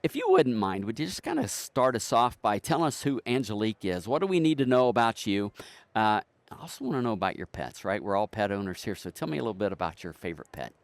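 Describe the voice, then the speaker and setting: Relaxed male podcast host. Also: lowish voice, fast talker, dry recording. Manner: relaxed, with many uh fillers. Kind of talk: casual hosting.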